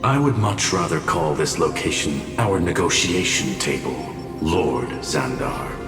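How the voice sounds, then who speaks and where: An actor playing a composed, erudite genius in a video game, explaining formally and politely but firmly. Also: male voice, rumbling low, lively intonation, measured pace, roomy sound, in a large hall, recorded from a distance, over music. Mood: assured.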